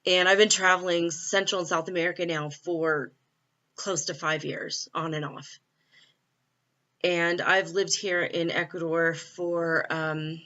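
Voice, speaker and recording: A middle-aged woman from California chats openly into her laptop, her voice bright and a touch forceful. She fills pauses with ums and small laughs. The built-in mic gives the sound a dull, lo-fi edge. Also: fairly low pitch. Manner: chatty, candid, with frequent fillers and laughs. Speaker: vlogger. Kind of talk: casual spiritual vlog.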